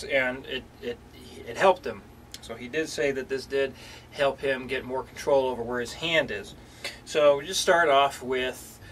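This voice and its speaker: A man's voice: medium pitch, a little hiss or hum. Brief: male guitar teacher, laid-back, mid-range voice, somewhat distant mic. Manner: relaxed, many fillers and restarts. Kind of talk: casual instructional talk. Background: guitar playing.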